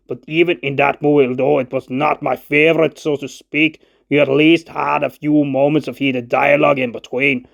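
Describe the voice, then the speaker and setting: Gravelly male film critic, fairly high voice, scripted narration, opinionated, argumentative, formal wording. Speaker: film reviewer. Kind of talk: scripted video-essay narration.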